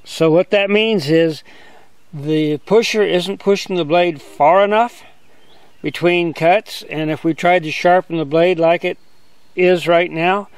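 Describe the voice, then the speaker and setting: An older man giving a relaxed, step-by-step outdoor demonstration as a sawmill instructor, talking in a plain, easygoing way. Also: high voice, a little slow, clear, resonant tone, no echo. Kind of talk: hands-on demonstration. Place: outdoors on a grassy lawn.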